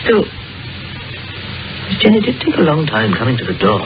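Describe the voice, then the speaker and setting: An actor playing a curious male doctor with a macabre imagination, speaking in a measured, musing way in a 1940s radio drama. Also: a little high, huge pitch swings. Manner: measured, musing storyteller's delivery. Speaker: Doctor McGregor, an amateur sleuth. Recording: dull old radio broadcast with music.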